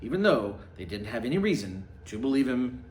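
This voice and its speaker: A man reading a storybook aloud with a theatrical, deliberate delivery. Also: medium pitch, big pitch swings, darkish tone. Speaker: storybook reader. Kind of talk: animated read-aloud storytelling. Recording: echoey room, faint background noise.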